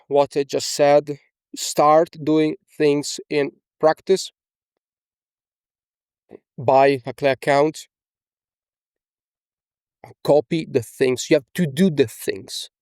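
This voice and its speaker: A man's voice: a little high, a little mellow, clear, resonant tone, no echo, clean studio sound. A young man from Italy with an Italian accent, talking casually as a coach in a screen-share tutorial, often tagging on "okay?" and fillers. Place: small indoor room.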